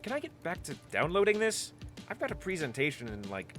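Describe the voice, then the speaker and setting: An actress playing a smug, needling team leader and unbothered sibling in an audio drama. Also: female voice, sits low, huge pitch swings, a little fast, faint music behind. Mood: defensive.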